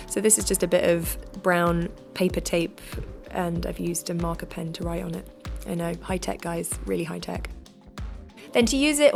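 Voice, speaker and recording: A woman with a slightly croaky voice, chatting cheerfully and self-deprecatingly through a how-to vlog. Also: medium pitch. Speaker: vlogger.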